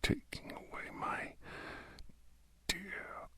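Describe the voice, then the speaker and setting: A man's voice: very slow, pushed and bright, no room sound. A man playing a menacing, obsessed villainous doctor in a whispered ASMR roleplay, mock-polite and coldly gloating. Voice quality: breathy, husky near-whisper.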